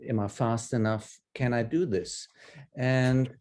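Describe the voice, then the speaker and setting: A man in his fifties with an Austrian accent, a native German speaker, telling personal stories haltingly in an online group session. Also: medium pitch, steady tone, a little mellow. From Upper Austria. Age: 50s. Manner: hesitant, halting delivery with repeated words. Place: video call.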